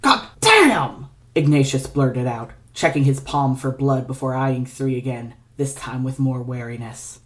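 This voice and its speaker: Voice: mid-range voice, flat delivery, normal speed, hardly any pauses, a little mellow. A narrator reading scripted fiction aloud as an audio story.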